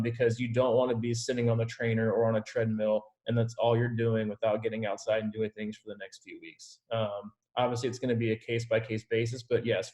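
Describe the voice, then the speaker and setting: A man's voice: mid-range voice, darkish tone. Guy coach on a group zoom call, chatty and reassuring, explaining stuff to his athletes. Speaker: triathlon coach hosting a team call. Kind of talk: answering questions on a group video call. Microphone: video call.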